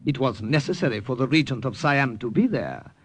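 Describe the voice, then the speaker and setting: Courteous Siamese major, very animated. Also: male voice, mid-range voice, slightly rough, no echo. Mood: gracious, composed. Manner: polite, measured, formally precise English. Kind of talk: old-time radio drama acting. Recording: noisy old radio recording with clicks.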